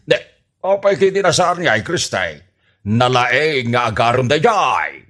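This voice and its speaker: A man's voice: mid-range voice, sing-song, takes it slowly, fairly bright tone. A man playing a strict, blustery retired soldier father in an Ilocano radio comedy drama, with an Ilocano accent and a bossy, emphatic delivery. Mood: sweet-talking.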